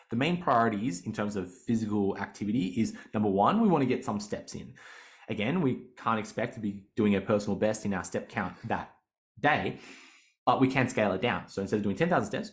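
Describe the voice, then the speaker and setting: Man, 30s, fitness coach, casual podcast talk, animated, lower voice. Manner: animated, chatty, laughs easily.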